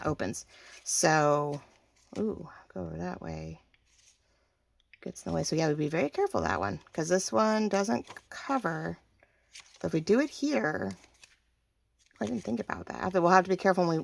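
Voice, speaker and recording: Woman, craft tutorial host, slightly hoarse, gravelly edge, lowish voice, chatty. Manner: chatty, thinks aloud while working, many fillers and throat clearing.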